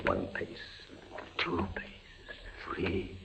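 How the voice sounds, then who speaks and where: An actor playing a frightened, fevered soldier held in a dungeon in a radio horror play, halting and repeating his words dramatically. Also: in a whisper. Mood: fearful, weak. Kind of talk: old-time radio horror acting. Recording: dull lo-fi radio recording, faint noise.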